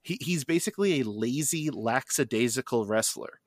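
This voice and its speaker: Animated podcast host from new jersey, voice jumps around a lot, dry close sound. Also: medium pitch, normal speed, dry recording. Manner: playful, animated questioner. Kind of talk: casual interview chat.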